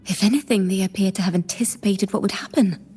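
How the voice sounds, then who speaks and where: A woman voicing a thoughtful, inquisitive young female bard in a video game, speaking softly and in a measured, reflective way. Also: medium pitch, husky. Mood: inquisitive, reasoning. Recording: music behind the voice.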